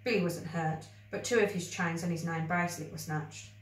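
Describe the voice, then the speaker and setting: A woman reading a children's story aloud with expressive narration. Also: fairly low pitch, brisk, soft background music. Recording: roomy, echoey, distant microphone.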